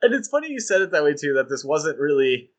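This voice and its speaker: A man's voice: a little high, very animated, quick pace. A man co-hosting a podcast, chatting casually and enthusiastically about comics, with frequent fillers and laughs. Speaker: podcast co-host. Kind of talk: casual chat.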